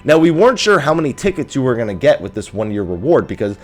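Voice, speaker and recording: Stuffy-nosed man with lively pitch. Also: fast, soft background music. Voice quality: nasal. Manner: chatty, lively, self-amused asides. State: stuffy, nasal from just waking. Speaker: gaming news host. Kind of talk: casual gaming news update.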